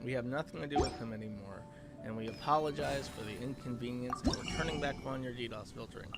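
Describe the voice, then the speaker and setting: Halting man over loud music. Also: medium pitch, creaky. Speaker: host. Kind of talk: casual monologue commentary.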